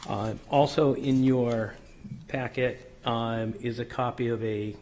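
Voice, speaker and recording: A man presenting a subdivision plan at a public board meeting, methodical and technical with frequent ums and self-corrections, his voice slightly hoarse. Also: mid-range voice, fairly even intonation, slow, darkish tone, a little hiss or hum. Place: city council chamber.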